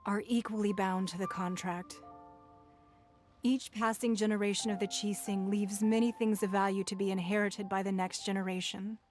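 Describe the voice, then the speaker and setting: Woman voicing dignified female leader, measured, formal, mid-pitched, faint music. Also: darkish tone, close to an everyday voice. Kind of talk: game cutscene voice acting.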